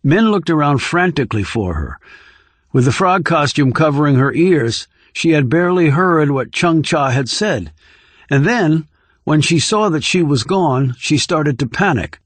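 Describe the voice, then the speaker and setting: A man's voice: on the high side, expressive, measured pace, no echo. A man reading scripted thriller narration as an audiobook narrator.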